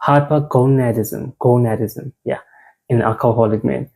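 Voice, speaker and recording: South African man, young adult, mellow tone, casual health talk, repeats and restarts words. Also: mid-range voice, steady tone, normal speed, gravelly. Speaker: men's health presenter. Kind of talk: informal health talk, mixing in isiZulu words.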